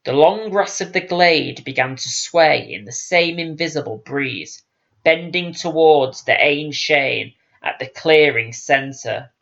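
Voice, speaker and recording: A man's voice: fairly high pitch, takes it slowly, gravelly, a bit bright, dull audio. A man narrating a fantasy audiobook in a solemn, dramatic storytelling manner.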